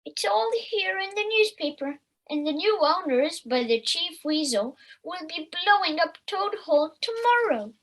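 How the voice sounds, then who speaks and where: A woman's voice: extremely high pitch, animated, measured pace, hardly any pauses, a bit bright, exaggerated voice, rough recording. A woman playing a cheeky, teasing female squirrel with a playful, needling manner in an amateur audio play. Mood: chirpy.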